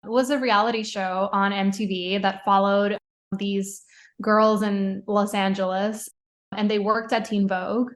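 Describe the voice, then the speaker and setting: A young woman from Puerto Rico talks through her answers in a relaxed interview. Her pitch stays flat and even, and she keeps adding fillers and quick restarts. Her laughs come often and lightly. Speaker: podcast guest, fashion writer.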